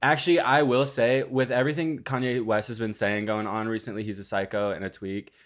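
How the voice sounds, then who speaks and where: A young man in his twenties co-hosting a podcast, trading crude, deadpan jokes in casual banter. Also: fairly low pitch, a little fast. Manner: deadpan, vulgar, provocative. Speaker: podcast co-host. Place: small studio room and outdoors in woods. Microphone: desk mic on boom arm, handheld mic outdoors.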